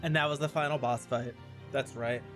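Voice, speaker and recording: A woman's voice: a bass voice, steady tone, normal speed, music in the background. A woman giving casual, playful and giggly livestream commentary as she reacts to video game recaps.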